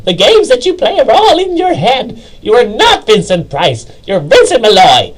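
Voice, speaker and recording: A shrill, loud mother acted out by a man in a storybook reading. The voice is pitched very high and pushed hard, scolding with exasperated emphasis on every phrase. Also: huge pitch swings, moderate pace, exaggerated voice.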